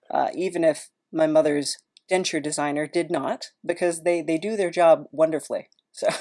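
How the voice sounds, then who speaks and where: A woman's voice: sits low, a little mellow. A woman podcast host chatting in a casual, rambling, self-deprecating way.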